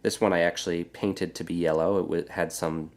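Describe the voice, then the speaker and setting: A man talks through a building tutorial in a relaxed, explanatory way. His voice is stuffy and congested from a cold, sitting a bit low, with plenty of filler words along the way. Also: fairly quick. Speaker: Lego builder and channel host. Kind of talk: casual how-to building tutorial.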